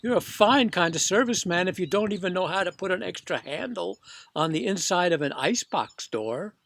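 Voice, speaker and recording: An eccentric storybook man of the house, played by a male reader. He is earnest and stubborn, insisting in a flustered, indignant way. His pitch sits a little high. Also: lively intonation, fairly quick, creaky, a bit bright, no echo, heavily acted.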